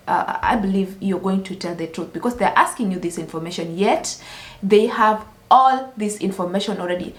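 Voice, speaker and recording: A woman from Kenya sharing a personal story as a vlogger, earnest and careful, with many restarts. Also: medium pitch. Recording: roomy echo.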